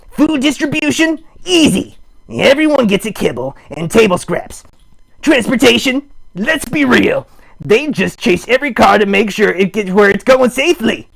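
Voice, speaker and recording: A man voicing an excitable, earnest puppet in a raspy voice, making emphatic speeches in a live improvised puppet show. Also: sits high, full character voice, poor audio. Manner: emphatic, exclamatory, mock-campaign speech. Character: excitable puppet character, earnest champion of dogs. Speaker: puppeteer host.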